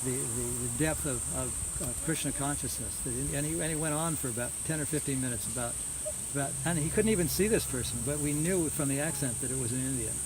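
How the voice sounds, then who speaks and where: A man's voice: mid-range voice, fast, hardly any pauses. A man giving an informal religious talk full of recollections, often repeating words as he searches his memory. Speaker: religious lecturer. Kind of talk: informal reminiscing lecture. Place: housewarming gathering, small live audience. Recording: noisy outdoor-like recording with insects. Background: loud hiss and chirping crickets.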